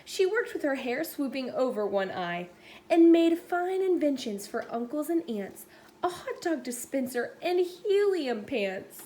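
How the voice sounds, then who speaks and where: A woman reading a rhyming children's story aloud in an expressive narrating style. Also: sits high, a little slow. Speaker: storybook reader. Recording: faint background noise.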